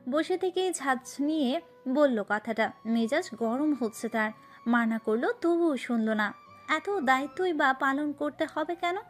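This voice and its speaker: High-voiced woman narrator. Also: animated, a little slow, faint music behind. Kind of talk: scripted narration of a romantic story.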